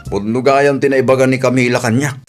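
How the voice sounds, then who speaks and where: A man's voice: medium pitch, steady tone, brisk, over loud music, lightly acted. A man playing a meddling, secretive village trader in an Ilocano radio drama, with an Ilocano Filipino accent and a grumbling manner. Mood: evasive, prying.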